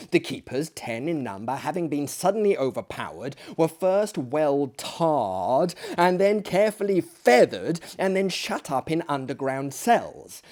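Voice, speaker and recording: A man's voice: on the high side, darkish tone. A man telling a classic story as a dramatic reader, with hammy, pompous delivery and heavy emphasis. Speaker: storyteller, host of a story reading series.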